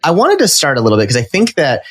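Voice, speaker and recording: A man's voice: on the high side, huge pitch swings, fast, dry recording. A man hosting a podcast in a studio, chatting in an upbeat way with lots of fillers and restarts. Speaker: podcast host. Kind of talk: casual interview chat.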